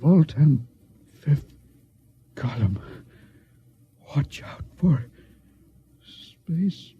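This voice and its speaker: An actor playing a dying ship's doctor in a 1950s radio drama, speaking in halting, breathless words. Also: male voice, fairly high pitch, big pitch swings, breathy edge, heavily acted. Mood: dying gasps. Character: dying ship's doctor, gasping his last warning. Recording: dull old radio recording.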